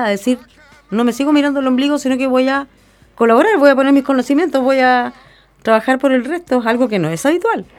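A woman with a Chilean accent hosting a radio interview in a chatty, colloquial, conversational way. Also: fairly high pitch. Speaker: radio programme host.

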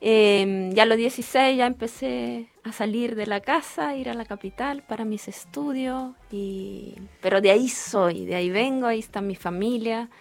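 A woman's voice: a little high, normal speed, creaky, hardly any pauses. A middle-aged woman from Italy, speaking with an Italian accent, being interviewed as a guest on a radio show and telling her story. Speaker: guest, education director.

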